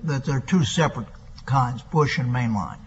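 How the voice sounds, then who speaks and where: A man's voice: medium pitch, animated, moderate pace. A man serving as an appeals judge, questioning a lawyer dryly and restating her argument back to her. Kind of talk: questioning counsel in oral argument. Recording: dull recording in a large echoing courtroom with faint hiss.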